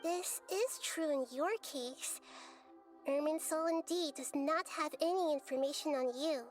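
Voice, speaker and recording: A woman playing a small, wise young goddess in a game, speaking in a calm, measured, articulate and gently explanatory way. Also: sits high. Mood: grave, thoughtful. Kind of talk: scripted game dialogue. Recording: background music under the voice.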